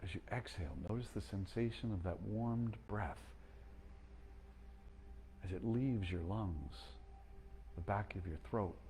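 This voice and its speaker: Calm, low-voiced man guiding meditation. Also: a little hiss or hum. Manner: slow, calm and gentle, with long pauses. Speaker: meditation guide. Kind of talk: guided breathing meditation.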